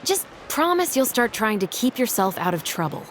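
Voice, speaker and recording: A woman's voice: fairly high pitch, very animated, moderate pace, a little hiss or hum. A woman playing a stern, matter-of-fact patrol captain with a dry wit, in a game cutscene. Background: game music.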